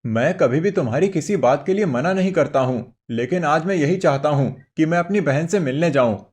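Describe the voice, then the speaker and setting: A man's voice: a little high, expressive, fairly quick, a little mellow, breathy edge. A man voicing a dutiful, affectionate younger brother in a cartoon story, earnest and placating. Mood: firm, earnest. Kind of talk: Hindi cartoon moral story acting.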